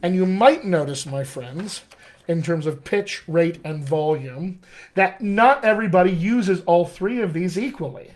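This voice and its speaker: A middle-aged American man, a dialect coach, teaching a live online class in an animated, friendly way, often calling his viewers "my friends.". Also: sits high, a little slow. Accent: American English. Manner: animated, addresses viewers as "my friends", chuckles at own asides. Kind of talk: live-streamed teaching session.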